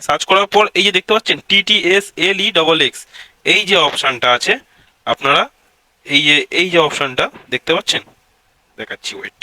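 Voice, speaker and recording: A man's voice: fairly high pitch, lively intonation, fairly quick, pushed and bright, no echo, rough recording. A man hosts a casual step-by-step tech tutorial, addressing viewers directly and repeating his instructions.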